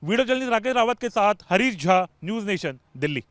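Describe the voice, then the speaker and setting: High-pitched male news reporter. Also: lively intonation, fast talker, a bit bright, a little hiss or hum. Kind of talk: news report, explanatory piece to camera.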